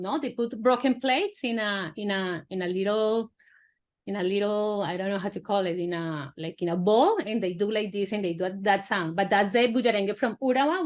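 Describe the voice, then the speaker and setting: A woman filmmaker from Colombia, a native Spanish speaker, answering interview questions in casual, halting English with many fillers and restarts. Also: medium pitch. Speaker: filmmaker guest.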